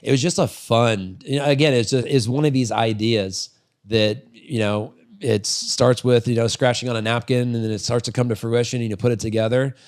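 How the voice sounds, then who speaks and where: A man's voice: medium pitch, steady tone, normal speed, a little mellow. A man in his forties talking casually as an interview guest, candid and rambling, swearing freely. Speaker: podcast guest, retired freestyle motocross rider and business owner. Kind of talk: casual long-form storytelling in an interview.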